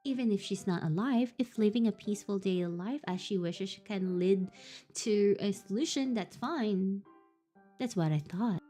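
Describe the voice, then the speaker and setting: A woman's voice: mid-range voice, normal speed, dark tone, soft background music. A young woman streamer reading a visual novel aloud and reacting expressively with laughs and comments.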